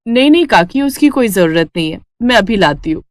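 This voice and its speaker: A woman's voice: mid-range voice, animated, a little fast, no room sound, natural voice. Woman playing an earnest young housewife, dry sound. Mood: polite. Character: thrifty, hard-working young daughter-in-law, earnest and kind.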